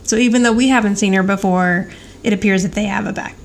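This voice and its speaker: A woman's voice: medium pitch, fairly even intonation, fast talker. Woman podcaster over noticeable background noise. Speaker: podcast co-host. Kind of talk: casual episode recap chat.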